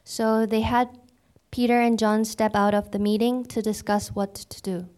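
Mellow woman interpreting a sermon smoothly. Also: a little high, unhurried. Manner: smooth, fluent, even.

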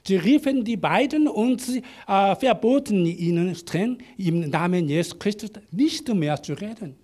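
Non-native guy preaching in german, high voice, slow and halting, lots of ums. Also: lively intonation, darkish tone. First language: not German. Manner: halting, many fillers and restarts. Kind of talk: sermon with live interpreter.

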